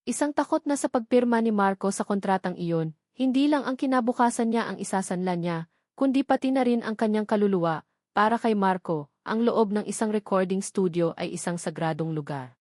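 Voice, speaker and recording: A woman's voice: mid-range voice, brisk, a little mellow, dry recording, studio quality. A woman with a Filipino accent reading a scripted dramatic story as its narrator.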